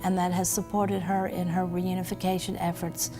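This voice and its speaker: A woman, a court program official, describing a participant in a measured, formal way. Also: on the low side, little pitch movement, music in the background. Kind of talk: formal spoken remarks.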